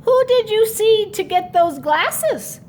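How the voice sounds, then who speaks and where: A woman's voice: very high voice, expressive, takes it slowly, darkish tone, a little hiss or hum, voice pushed up, heavily acted. A woman voicing a friendly male bone doctor in a children's storybook, patiently questioning in a sing-song way. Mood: playful quizzing.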